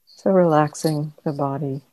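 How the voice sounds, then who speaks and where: Woman meditation teacher, really slow and mellow, gentle w lots of long pauses. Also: deep voice, fairly even intonation, no room sound, poor audio. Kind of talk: guided meditation. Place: meditation center.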